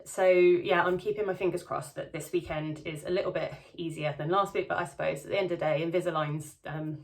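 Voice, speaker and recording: A woman's voice: on the low side, fairly even intonation, a little fast, creaky. A woman with a slight lisp, chatting casually in a diary vlog, with many ums and restarts. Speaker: vlogger. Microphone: camera close-up.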